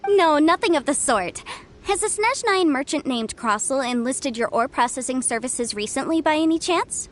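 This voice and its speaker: A woman's voice: sits high, animated, normal speed, a little hiss or hum. A woman playing a confident young legal investigator in a video game, speaking in a polite, precise, businesslike way. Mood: polite, inquiring.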